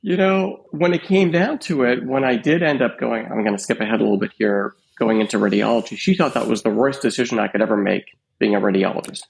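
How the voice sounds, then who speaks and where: A man in his fifties speaks at an ordinary middle pitch with a gravelly, fry-edged voice. He tells his story slowly and thoughtfully, stopping often with "uh" as he remembers. It is a relaxed interview tone. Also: rough recording. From Canada. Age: 50s. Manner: unhurried, reflective, many "uh" pauses. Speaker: podcast guest, radiologist.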